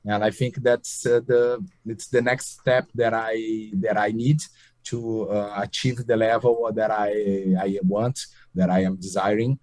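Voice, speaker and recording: A man whose first language is Portuguese, speaking English haltingly with heavy fillers. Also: lowish voice, level tone, darkish tone, no room sound. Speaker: English learner.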